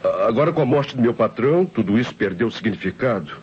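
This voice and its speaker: A man's voice: medium pitch, animated, fast, lightly acted. A Brazilian man acting a professor's nervous, evasive assistant in an old radio drama, defensive and hesitant. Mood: guarded. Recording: dull old radio recording, faint hiss.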